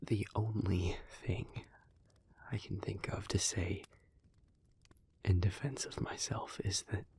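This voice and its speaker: A man playing an earnest, guilt-ridden demon in love, speaking in a hushed, breathy, halting voice in an intimate audio roleplay. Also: deep voice, steady tone, full character voice. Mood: pleading, earnest. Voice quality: breathy, husky, whispery. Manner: slow, halting, hushed delivery with pauses.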